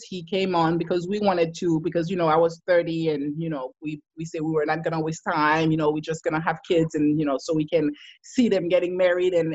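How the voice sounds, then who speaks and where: A woman in her thirties telling her life story as an interview guest, laughing often and getting animated when she quotes herself. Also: lowish voice, no echo. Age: 30s. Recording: dull lo-fi sound.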